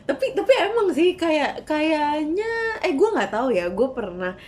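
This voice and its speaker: A young woman co-hosting a casual podcast chat, chatty and quick to agree. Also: high-pitched, very animated, darkish tone, a little hiss or hum. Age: young adult. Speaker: podcast co-host.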